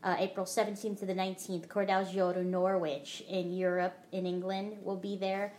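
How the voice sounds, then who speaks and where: A woman's voice: mid-range voice, level tone, moderate pace, darkish tone, a little hiss or hum. Chatty woman podcast host. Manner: chatty, many "uh" fillers, reading out listings. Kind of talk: casual chat.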